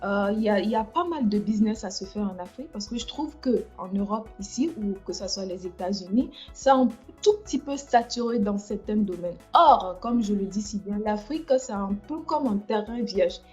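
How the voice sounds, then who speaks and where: A woman's voice: mid-range voice, expressive, moderate pace, hardly any pauses, a little mellow, muffled recording, faint music behind. A woman hosting a casual livestream chat, speaking hesitantly with many fillers and restarts. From France. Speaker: livestream host.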